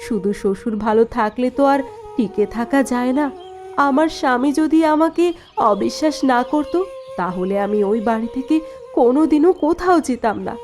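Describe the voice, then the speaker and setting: A woman's voice: fairly high pitch, lively intonation, moderate pace, darkish tone, natural voice. A woman playing a sorrowful but proud young wife in a cartoon drama, plaintive and earnest. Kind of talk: dubbed cartoon dialogue.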